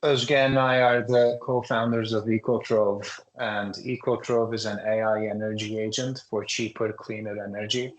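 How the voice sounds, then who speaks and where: Man pitching his startup. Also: little pitch movement, normal speed, few pauses, poor audio. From Turkey. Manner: polished, pitch-like explanation. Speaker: startup CEO guest. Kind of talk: business interview answers.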